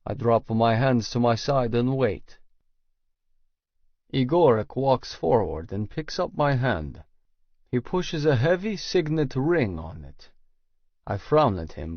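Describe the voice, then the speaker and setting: Male audiobook narrator for first-person fiction; medium pitch, dry room, slightly dull recording. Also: no room sound.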